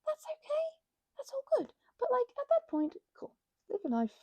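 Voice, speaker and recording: A woman with a breathy, soft-edged voice rambling intimately and casually, halting and interrupting herself as she reflects. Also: very high-pitched, huge pitch swings, no echo. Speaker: craft vlogger and ASMR creator. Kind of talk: rambling, intimate casual chat while crafting.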